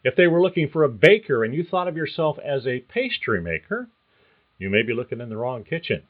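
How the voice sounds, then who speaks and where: An older man hosting a career-coaching podcast, reading a prepared monologue in a measured, earnest way. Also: mid-range voice, very animated. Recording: thin phone-like sound.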